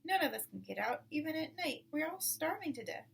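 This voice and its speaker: A woman voicing an excitable, despairing male badger in a storybook, exclaiming anxiously. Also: high voice, fairly quick, voice pushed up, full character voice. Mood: alarmed. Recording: distant mic with clear background noise.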